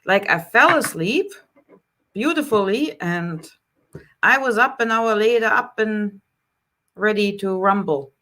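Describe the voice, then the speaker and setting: Slow-talking German woman hosting live chat. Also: medium pitch, animated, sharp tone. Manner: greets viewers by name, unhurried with many fillers. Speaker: live stream host, spiritual healer. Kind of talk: casual live Q&A, reading chat comments.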